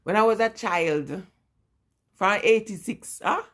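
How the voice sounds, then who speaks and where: A woman's voice: medium pitch, slow. A woman in her forties from Jamaica, with a Jamaican accent, chatting casually with live-stream viewers and repeating her points with emphasis. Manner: emphatic and repetitive, talking straight to viewers. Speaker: vlogger.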